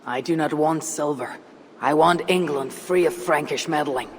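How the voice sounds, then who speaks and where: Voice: medium pitch, moderate pace, a little hiss or hum, natural voice. An actor playing a composed, blunt Viking warrior in a video game, formal and direct in speech. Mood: firm. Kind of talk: dramatic video game acting. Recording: reverberant space, faint ambience.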